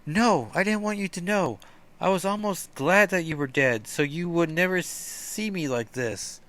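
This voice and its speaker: A man voicing a teenage girl sorceress, resigned and solemn about her dark destiny. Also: high voice, measured pace, voice pushed up. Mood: sorrowful. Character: sorrowful teenage sorceress queen.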